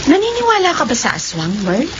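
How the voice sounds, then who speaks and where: A noisy, muffled radio horror drama. an earnest young Filipino daughter, polite and sceptical, in a fairly high voice. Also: female voice, wildly swooping pitch, a little fast. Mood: sceptical, questioning.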